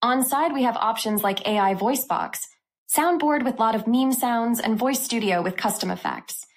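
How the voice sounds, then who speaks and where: A woman host narrating a scripted software review for a tech channel. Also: fairly high pitch, normal speed.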